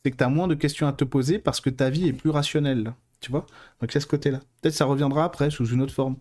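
A man's voice: medium pitch, fairly even intonation, fast talker, darkish tone. A man hosting a live stream, talking casually and informally to his viewers in a chatty, digressive way. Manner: informal, colloquial wording. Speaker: host of a live stream on mediumship and clairvoyance. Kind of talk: casual live talk answering viewer questions.

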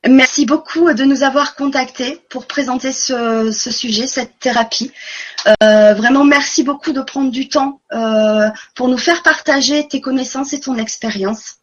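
A woman's voice: sits high, measured pace, muffled recording. A woman hosting a live online conference, speaking earnestly and repeating words for emphasis. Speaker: webinar host.

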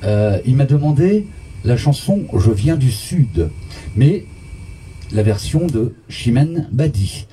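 A man's voice: mid-range voice, sing-song, slow, dark tone, slight background noise. A man hosting a live radio karaoke show, chatting to listeners and introducing their song requests. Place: radio studio. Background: karaoke music.

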